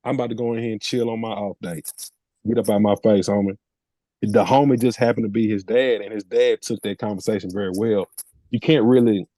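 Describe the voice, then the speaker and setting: A man's voice: mid-range voice, warm tone, no echo. A middle-aged man co-hosting a podcast, talking casually in slangy, emphatic, opinionated language. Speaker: podcast co-host.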